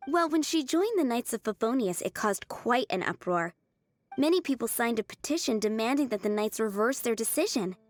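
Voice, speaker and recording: A woman playing a kind, earnest young restaurant server in a video game, gentle, measured and polite. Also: fairly high pitch, lively intonation, lightly acted. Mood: earnest, sympathetic.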